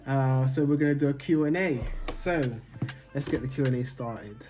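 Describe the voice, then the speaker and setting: A relaxed young man from Jamaica talks easily through a casual Q&A with his partner. He laughs often and lets his sentences fade into mumbles. Light music runs underneath. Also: medium pitch, normal speed. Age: young adult. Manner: laid-back, laughing, trailing off quietly. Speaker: vlogger, new father. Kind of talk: casual couple's Q&A chat.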